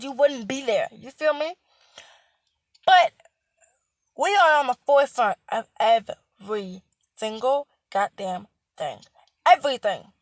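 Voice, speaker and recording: Hoarse, high-voiced woman ranting bluntly. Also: dry recording. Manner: blunt, emphatic, repeats herself, swears. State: hoarse, voice cracking. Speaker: healthcare worker. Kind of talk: casual vlog rant.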